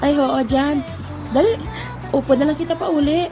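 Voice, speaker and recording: A woman playing a lively high school girl. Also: moderate pace, lightly acted. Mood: chatty. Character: cheerful teenage schoolgirl, sporty and lively. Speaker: voice actress. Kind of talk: amateur audio drama acting. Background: background music.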